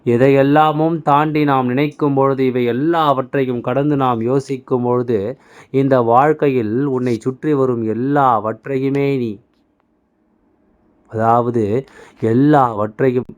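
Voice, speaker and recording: A man's voice: mid-range voice, darkish tone, clear, resonant tone, muffled recording. A man delivering a spiritual motivational monologue, exhorting the listener repeatedly as if speaking to a child. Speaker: devotional channel speaker delivering messages in a deity's voice.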